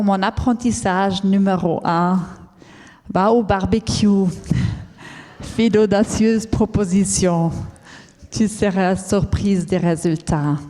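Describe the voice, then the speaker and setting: A woman who is not a native French speaker tells her own story as a conference talk, careful and halting, with many fillers and restarts. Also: mid-range voice, dark tone. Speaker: entrepreneur giving a conference talk. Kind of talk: personal story told as a talk. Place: large lecture hall. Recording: long reverb of a large hall.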